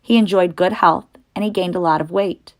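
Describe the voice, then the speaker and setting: A woman reads a children's storybook aloud as narrator. Her voice is a little lower than most women's, in a scripted storytelling style. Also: steady tone, moderate pace.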